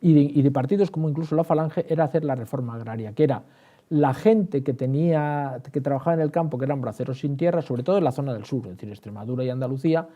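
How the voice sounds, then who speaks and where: A man's voice: mid-range voice, fast talker, dark tone. A man explaining as an interview guest, repeating words and answering his own questions. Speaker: historian and writer, interview guest. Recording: dull, band-limited sound.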